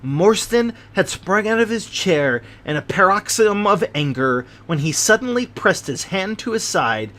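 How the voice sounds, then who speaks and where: A greedy old army major on his deathbed, confessing in a slow, heavy way, voiced by a man reading a story. The voice sits slightly high for a man. It sounds like a storybook reading. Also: sing-song, a bit bright, full character voice. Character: dying greedy old male army major, guilt-ridden.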